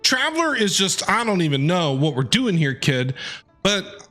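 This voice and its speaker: A woman's voice: on the low side, sing-song, moderate pace, a bit bright. A woman streaming a game, giving casual, chatty, reactive commentary as she plays. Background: game music.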